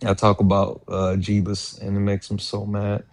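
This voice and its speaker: A young man on a livestream chatting in a flat, offhand way. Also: fairly low pitch, moderate pace, no echo. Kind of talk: casual chat.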